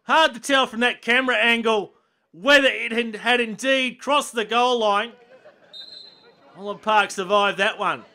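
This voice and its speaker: A man with an Australian accent commentating football highlights, with excited bursts at chances and goals. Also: a little slow. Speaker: match commentator.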